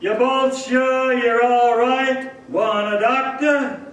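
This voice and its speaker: High-pitched baffled bridge keeper, done by a man. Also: steady tone, slow pace, a bit bright, far from the mic, exaggerated voice. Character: plainspoken, easily spooked local bridge keeper. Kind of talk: live old-time radio drama acting. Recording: echoey, noisy theater stage from afar.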